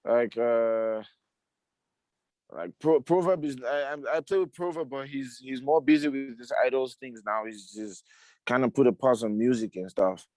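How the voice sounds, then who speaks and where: A man's voice: mid-range voice, moderate pace, darkish tone, dry recording. A man, a musician guest, talking casually and repeating himself, often saying "you see". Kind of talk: casual conversation.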